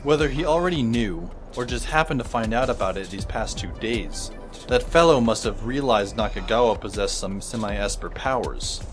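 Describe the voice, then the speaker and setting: A man's voice: fairly low pitch, moderate pace, natural voice. A man playing a wry, reflective teenage boy who narrates his own story in an audio drama. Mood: musing. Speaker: narrator and lead character. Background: music under the voice.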